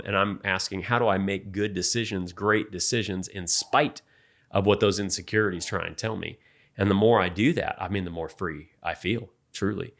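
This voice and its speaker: Earnest low-voiced man in his forties. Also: a bit bright. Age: 40s. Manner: earnest, halting, many restarts. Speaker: podcast co-host. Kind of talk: reflective faith talk.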